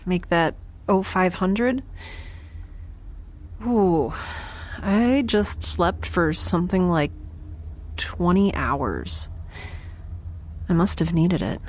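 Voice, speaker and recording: A woman's voice: on the low side, slight background noise. A woman playing a jittery, wry young scientist aboard a spaceship in an audio drama, chatty and sarcastic. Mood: groggy. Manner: chatty, rambling, sarcastic, self-reassuring. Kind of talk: audio drama, naturalistic log-style narration. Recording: faint background ambience.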